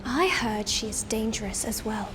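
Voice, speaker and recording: An actress playing a haughty, spiteful rival bride in a period romance drama, smug and cutting, in a reverberant recording. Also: female voice, fairly high pitch, expressive, moderate pace, noisy background, close to an everyday voice. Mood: scornful.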